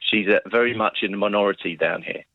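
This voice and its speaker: A man's voice: on the low side, fast, no echo. A middle-aged English man calling a phone-in, chatting casually in a hesitant, stop-start way. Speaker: phone-in caller. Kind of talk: casual chat. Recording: dull phone line.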